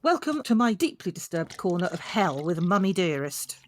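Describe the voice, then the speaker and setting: A woman's voice: lowish voice, sing-song, moderate pace, slight background noise. A woman playing an exasperated, sardonic grown daughter in a naturalistic audio drama, with a biting, nagging manner.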